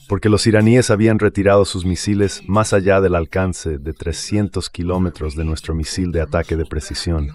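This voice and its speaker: A man's voice: low-pitched, a little mellow. A man reading a dubbed political and military commentary in an emphatic, explanatory way, in short declarative sentences. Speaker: translated voice-over of an interview guest.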